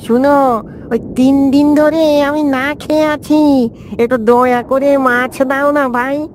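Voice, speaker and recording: Woman voicing a high-pitched sly cartoon fox. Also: steady tone, takes it slowly, a little mellow, a little hiss or hum. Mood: wheedling. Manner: wheedling, scheming. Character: sly, greedy, flattering, treacherous fox. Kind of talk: children's cartoon voice acting.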